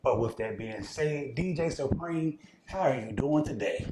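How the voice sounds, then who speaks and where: A man's voice: medium pitch, expressive, a little slow, background noise. An American man hosting a casual interview show, asking questions in an informal, slangy, conversational way.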